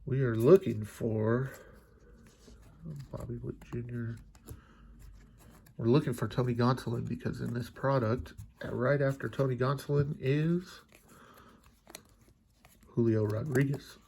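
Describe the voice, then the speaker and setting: A man's voice: mid-range voice, fairly even intonation, measured pace, creaky, long pauses, darkish tone, a little hiss or hum. A congested, stuffy-sounding man opening card packs and chatting casually, musing aloud with lots of fillers. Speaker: card collecting channel host. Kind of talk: casual pack-opening commentary.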